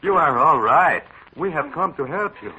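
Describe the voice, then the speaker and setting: A man's voice: a little high, lively intonation, normal speed, pushed and bright, a little hiss or hum. An actor playing an emotional older father with a stage Spanish accent in a 1940s radio drama. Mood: emotional fatherly. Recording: dull lo-fi old radio broadcast.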